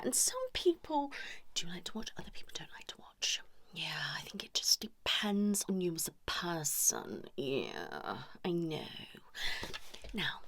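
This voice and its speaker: A cheeky British female couples therapist, played by a woman in an ASMR roleplay. She speaks in soft whispers on the lower side, with plenty of swoops in pitch. She is blunt and nosy, rambling through hesitant, muttered asides.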